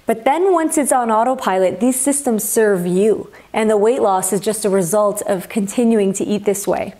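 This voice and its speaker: Young woman chatting away in her kitchen, upbeat and gesturing, clip-on mic, easy mid pitch. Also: moderate pace, mellow. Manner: chatty and upbeat, gestures a lot, shows groceries. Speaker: healthy eating vlogger. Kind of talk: casual talk to camera. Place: home kitchen, indoors. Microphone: clip-on lapel mic.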